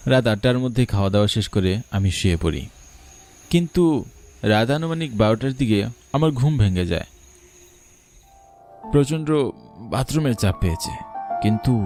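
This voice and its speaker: Low-voiced male horror story narrator. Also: a little mellow. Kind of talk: first-person scripted horror story narration.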